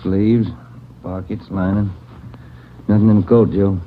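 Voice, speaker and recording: An actor playing a plain-spoken, steady police detective, brisk and matter-of-fact, in a 1940s radio drama. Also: male voice, lowish voice, slight background noise, lightly acted. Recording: muffled vintage 1940s radio recording.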